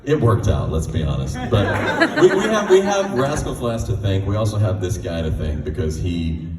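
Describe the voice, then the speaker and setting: An American man with a very low, deep voice tells a casual story from the stage. He is recorded at a distance in a reverberant hall, with noise around him. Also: sing-song, normal speed, darkish tone, some chatter behind. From United States, Texas. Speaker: singer-songwriter telling stories to an audience. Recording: echoey hall, distant mic, background noise.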